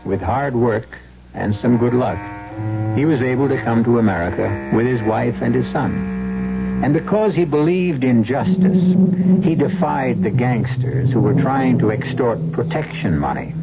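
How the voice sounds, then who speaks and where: A man hosting and narrating a radio drama in a gravelly, grave, dramatic scripted style. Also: medium pitch. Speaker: host and narrator. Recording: muffled vintage radio recording.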